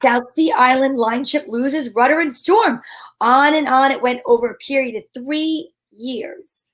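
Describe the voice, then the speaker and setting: Woman acting a high-voiced, wry lady private eye. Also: a little slow, a bit bright. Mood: wry narrating. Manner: wry, wisecracking. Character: wry, breezy woman private eye narrating her case. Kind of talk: old-time radio detective drama.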